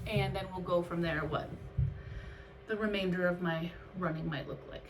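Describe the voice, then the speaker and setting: Candid woman vlogger over clear background noise. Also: on the low side, fairly even intonation, moderate pace. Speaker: vlogger and amateur runner. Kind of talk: casual, candid vlog chat.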